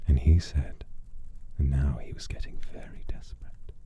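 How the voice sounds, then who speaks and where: A young man streamer telling a story in character, slow and theatrical, dipping into hushed whispers. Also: extremely low pitch, fairly even intonation.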